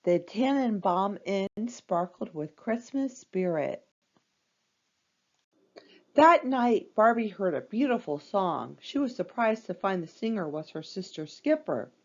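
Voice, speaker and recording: A woman reads a children's storybook aloud with a very lively, swooping delivery. Her voice sits at a medium pitch and plays up the drama for young listeners. The recording sounds slightly dull and lo-fi. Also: takes it slowly. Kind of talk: scripted read-aloud storytelling.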